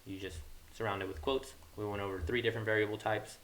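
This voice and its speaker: Young guy with a lowish voice walking thru code, thinking out loud, bit of hiss and keyboard noise. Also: little pitch movement, quick pace. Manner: informal, thinks aloud while working. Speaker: programming tutorial host. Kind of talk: casual screen-recorded coding tutorial. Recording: hissy mic with keyboard clatter. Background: computer keyboard typing, hiss.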